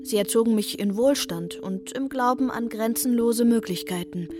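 A woman in her twenties reading a scripted, reflective narration calmly. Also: a little high, normal speed. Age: 20s. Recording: music underneath.

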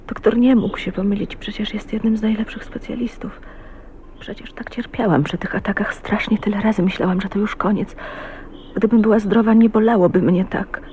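An actress playing a shy, troubled young wife in an emotional radio drama with intimate inner monologue. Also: female voice, on the low side, warm tone. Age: young adult. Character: shy, troubled young wife of twenty-nine, brooding on her fate. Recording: muffled, noisy 1980s radio recording.

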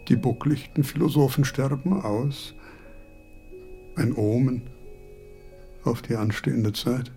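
An actor playing a pedantic, brooding old philosopher in a radio play, speaking ponderously with deliberate emphasis. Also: male voice, mid-range voice, warm tone, soft background music. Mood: musing, melancholy.

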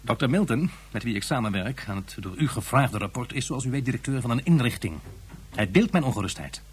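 Serious worried advisor, sci-fi radio drama, slightly husky, formal and careful. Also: male voice, mid-range voice, animated. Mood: grave, earnest. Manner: formal, deliberate, earnest. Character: grave, concerned professional advisor. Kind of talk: science fiction radio drama acting.

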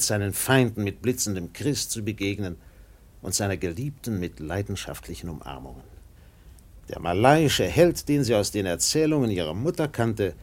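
Radio drama narrator: grave seafaring storyteller, lowish male voice, sweeping dynamic delivery, dramatic pauses. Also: exaggerated voice. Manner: measured phrases with dramatic swells and pauses.

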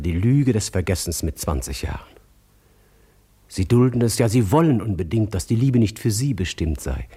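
A brooding male poet delivers a grave literary monologue for radio. His voice is low and very husky, with a dark, mellow tone and measured, declamatory phrasing. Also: full character voice. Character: brooding, solemn male poet-narrator of inner reflections.

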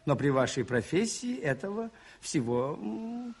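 Shrewd, husky, animated priest detective. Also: male voice, on the high side, unhurried, heavily acted. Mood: earnest persuasion. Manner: musing, ironic, expressive and conversational. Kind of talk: Soviet radio play acting.

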